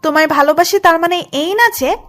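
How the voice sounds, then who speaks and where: A woman's voice: extremely high pitch, fairly quick, a bit bright. A woman playing a jealous, pushy female boss in love with her employee, in an audio love drama. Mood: demanding. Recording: music under the voice.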